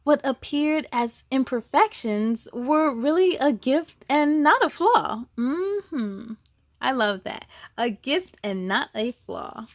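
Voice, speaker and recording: A woman with a high voice reads to children in an animated, expressive way. She takes her time and slips in chatty asides and questions for her listeners. The sound is close and dry, with little trace of the room. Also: takes it slowly, no room sound. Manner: animated, exclaiming, gasping and musing, asking listeners questions. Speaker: story-time host reading a picture book aloud to children.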